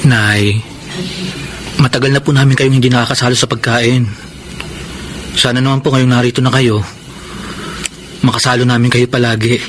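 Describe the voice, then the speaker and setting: An actor playing an earnest, caring young son in a Filipino radio drama. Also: male voice, mid-range voice, level tone, normal speed, pauses often, lightly acted. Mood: gently concerned. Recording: noisy radio drama with background ambience.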